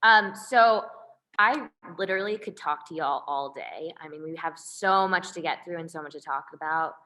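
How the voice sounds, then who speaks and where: Woman, moderator, bright tone, slightly low pitch, upbeat, conversational. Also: normal speed. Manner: upbeat, conversational, uses y'all. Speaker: panel moderator. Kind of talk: moderating an online panel. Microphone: video call.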